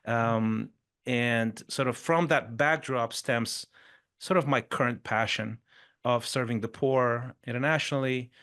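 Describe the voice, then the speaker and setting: A man's voice: medium pitch, a little slow, fairly bright tone. A middle-aged man from Chile with a mid-range voice, talking through his story. He speaks loosely and conversationally, often adding "sort of" and tagging "right?" onto his points. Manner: frequent "sort of" and "right?" tags, brief restarts. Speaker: podcast guest, performance coach.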